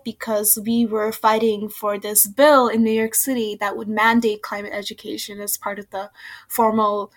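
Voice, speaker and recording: A woman's voice: a little high, fairly bright tone. A young adult woman from Bangladesh, speaking as an activist guest and giving casual interview answers. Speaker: guest, youth climate activist.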